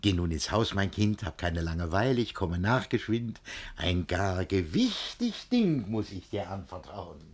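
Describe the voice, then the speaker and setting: A man's voice: low-pitched, very animated, unhurried, husky, exaggerated voice. An actor playing a pompous, controlling middle-aged master who declaims rhymed verse and blusters at his servants. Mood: patronizing. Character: pompous controlling middle-aged man, vain about his new noble name. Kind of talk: classical verse comedy acting.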